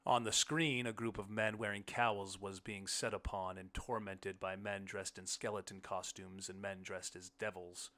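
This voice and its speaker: A man's voice: low-pitched, little pitch movement, moderate pace, few pauses, bright, forceful tone. A man reading a short story aloud as scripted first-person audiobook narration.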